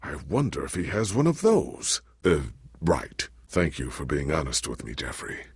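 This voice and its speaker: An actor playing a proud but anxious, forgetful legendary warrior in a video game, with an earnest, formal, theatrical delivery. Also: male voice, rumbling low, creaky, breathy edge, no room sound, clean studio sound, exaggerated voice. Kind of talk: dramatic video game voice acting.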